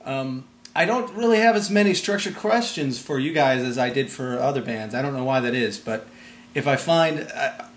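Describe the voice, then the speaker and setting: A man conducting a casual interview, talking in a rambling, halting way. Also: mid-range voice. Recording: roomy echo, background noise.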